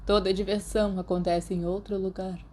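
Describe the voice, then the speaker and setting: A woman's voice: medium pitch, little pitch movement, a little fast, darkish tone, natural voice. A woman with a Brazilian Portuguese accent voicing a playful, dramatic teenage boy with a whiny edge. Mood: sulky. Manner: whiny, theatrical.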